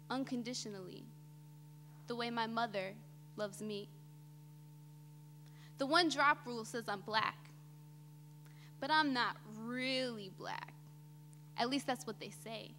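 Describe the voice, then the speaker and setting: A young woman delivers a theatrical monologue in a high-pitched, bright and forceful voice. Her phrasing is deliberate and dramatic, moving between emphatic swells and quieter drops. The sound rings with the long reverb of a hall. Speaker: student monologue performer. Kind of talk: theatrical spoken-word monologue performed on stage. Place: indoor auditorium stage before an audience. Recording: reverberant hall, faint background noise.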